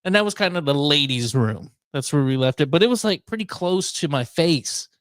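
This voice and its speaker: A man's voice: fairly high pitch, expressive, brisk, a bit bright, no echo, studio quality. A man hosting a casual chat, rambling and joking crudely with an incredulous manner. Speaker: radio show host.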